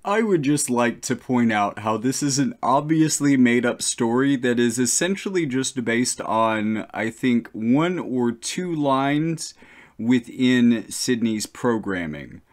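A man's voice: medium pitch, lively intonation, slow pace. A man giving opinionated, informal, off-the-cuff voice-over commentary.

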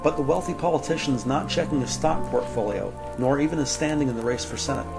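A man narrating a crime audio drama from a script, measured and descriptive. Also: mid-range voice, creaky. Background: music.

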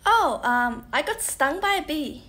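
A woman's voice: sits high, big pitch swings, a little slow, a bit bright, heavily acted. A woman voicing a naive, hesitant young sailor boy in an amateur cartoon, often questioning.

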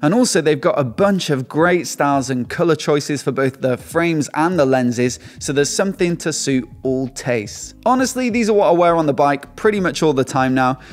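A man's voice: a little high, normal speed, hardly any pauses, soft background music. A man, hosting an upbeat scripted motorcycle review and sponsor read at a studio desk mic. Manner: upbeat, lively scoring delivery. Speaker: motorcycle channel host. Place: small indoor studio with shelves. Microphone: desk mic on stand in front of him.

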